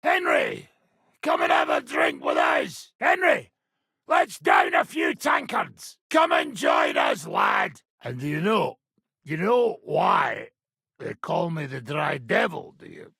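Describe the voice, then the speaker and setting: Blunt mercenary captain, male, heavy rasp and grit, forceful edge, high-pitched, swearing, gruff, medieval game character. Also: wildly swooping pitch, takes it slowly, dry recording, clean studio sound, full character voice. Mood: drunken, boisterous. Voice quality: gravelly, raspy. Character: coarse, combative, foul-mouthed medieval mercenary commander. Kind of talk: video game character acting.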